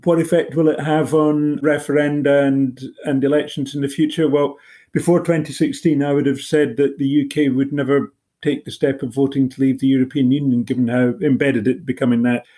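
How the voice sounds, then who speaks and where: A man in his forties from Britain with a mellow, relaxed tone, talking through his answers in a measured, explanatory way. His pitch sits in an ordinary middle range, and he sounds like a journalist guest on an interview show. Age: 40s.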